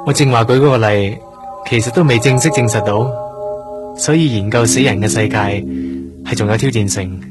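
A man with a Hong Kong accent playing an earnest, curious young trainee doctor in a radio drama, explaining things in a measured way. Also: mid-range voice, music in the background, close to an everyday voice. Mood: earnest, thoughtful. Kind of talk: Cantonese radio drama acting, explanatory dialogue.

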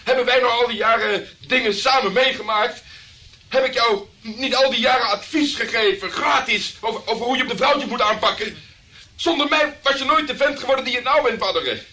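Boastful, self-pitying male private eye, radio drama, very bright high voice, theatrical bluster. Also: a little fast. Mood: frightened pleading. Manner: theatrical, blustering, stammering when cornered. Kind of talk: radio play acting.

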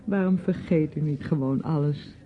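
An actress playing a sensible young niece in a radio drama, patient and gently probing. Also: female voice, low voice, moderate pace, natural voice. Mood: questioning. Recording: muffled, noisy old radio play recording.

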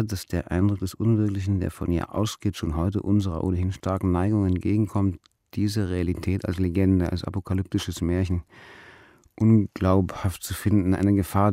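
A man reads written notes aloud in a measured way. His voice is very low, dark and even, with little melody, in a dry close recording. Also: no echo. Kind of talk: measured reading of an author's text and stage directions.

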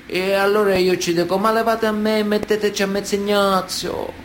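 An actor playing a boastful Sicilian mafioso telling prison tales colloquially in a radio drama monologue. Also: male voice, sits high, little pitch movement, brisk, slight background noise, natural voice. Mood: amused, indignant. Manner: colloquial, storytelling, cocky.

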